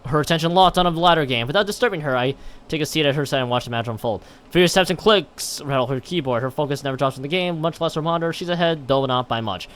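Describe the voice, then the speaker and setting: A man's voice: on the high side, fast talker, fairly bright tone, slight background noise. A man commentating on a game stream and reading game text aloud in a rambling way, often stumbling and correcting himself. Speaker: live streamer.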